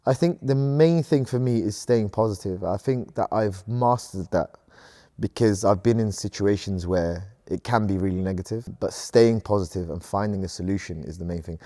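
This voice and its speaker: A man's voice: on the low side, normal speed, mellow, dry recording, clean studio sound. A man in his thirties from Sudan, a company co-founder answering interview questions in an earnest, upbeat way.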